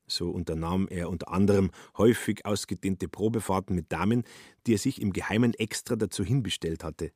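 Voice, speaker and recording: A man narrates a literary radio play in a lowish, husky voice. His delivery is dryly ironic, and the sound is close and free of room echo. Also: brisk, dark tone.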